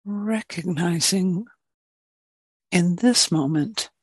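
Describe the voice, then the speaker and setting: A woman teaches guided meditation in a fairly low voice, very slowly. Her gentle phrases are short and set apart by long silences, captured in a dry, close-sounding recording. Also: husky, dry recording.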